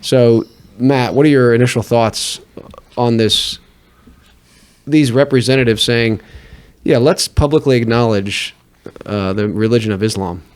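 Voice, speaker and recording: A man's voice: mid-range voice, unhurried, clean tone. Podcast host, male, mid-range voice, easygoing, chatty. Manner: relaxed, uses fillers and restarts. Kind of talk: casual talk show hosting.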